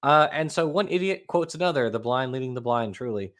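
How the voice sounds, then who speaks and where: A man's voice: mid-range voice, expressive, brisk, a bit bright. A man with a Southern accent hosting a casual panel chat, reading out posts and answering them with wry sarcasm. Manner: wry, sarcastic, laughs often. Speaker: host.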